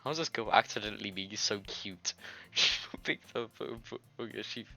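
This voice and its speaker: Bright forceful man reading out a game. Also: lowish voice, moderate pace, vocal fry, no echo. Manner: crude, jokey, informal. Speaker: gamer doing a let's play. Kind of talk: reading game dialogue aloud with joking asides. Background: game music.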